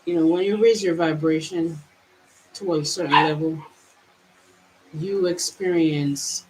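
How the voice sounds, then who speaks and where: A woman from Jamaica, a spiritual vlogger, musing aloud to her livestream viewers in halting phrases, with many restarts and drawn-out words. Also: low voice, fairly even intonation, a little hiss or hum, poor audio. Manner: halting, with frequent restarts and stretched, slowed phrases. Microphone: camera mic.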